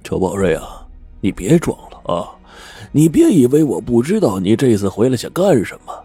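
A man voicing a bitter old villager who speaks slowly, coldly and mockingly, word by word. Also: medium pitch, very animated, warm tone, husky, dry recording, soft background music. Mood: scornful. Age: older. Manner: slow, mocking, deliberate.

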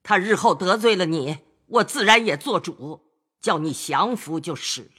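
A man's voice: sits high, expressive, measured pace, bright, forceful tone, breathy edge, pitched above the performer's own voice, exaggerated voice. A man voicing an elderly noble matriarch who scolds and commands her grandson, in a storytelling reading. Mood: scolding. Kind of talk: audiobook storytelling of a classic novel.

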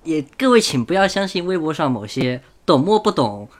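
A man's voice: on the high side, very animated, normal speed. A man co-hosting a motorsport podcast in casual conversation. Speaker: podcast co-host.

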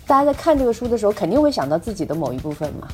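A woman's voice: mid-range voice, sing-song, very fast. A woman co-host in a casual chat, feeling her way through questions to a guest. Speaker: podcast co-host interviewing the author. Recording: music playing clearly behind the talk. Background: background music.

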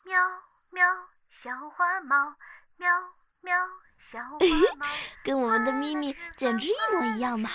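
A woman's voice: high voice, a little slow, pauses often, a crowd in the background. A woman playing a curious, eager ten-year-old girl in a radio drama. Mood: delighted. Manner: childlike, eager, questioning.

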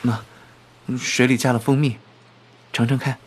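A man's voice: mid-range voice, little pitch movement, measured pace, slight background noise, close to an everyday voice. A man acting in a radio drama as a meek, poor young shop clerk with a hesitant, self-effacing way of talking. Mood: gentle, matter-of-fact.

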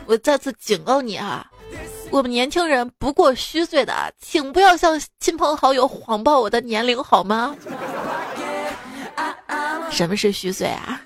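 A young woman hosts a radio joke segment in a playful, teasing voice, laughing at her own punchlines. Her voice sits a little high. A music bed runs clearly under her studio talk. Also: sing-song, normal speed, pauses often. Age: young adult. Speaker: radio joke show host. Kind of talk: reading jokes with laughs, casual radio talk. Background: background music.

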